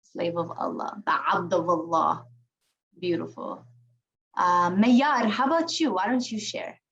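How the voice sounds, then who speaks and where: A woman's voice: lowish voice, unhurried, gravelly, a bit bright, dull audio. Encouraging woman leading an online group. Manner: encouraging, affectionate, praises each speaker. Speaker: youth group facilitator. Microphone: video call.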